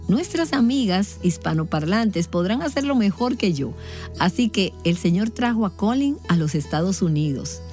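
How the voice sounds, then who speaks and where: Friendly female host with mellow voice. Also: medium pitch, animated, normal speed, soft background music. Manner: friendly, conversational. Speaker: podcast host. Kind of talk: interviewing a guest.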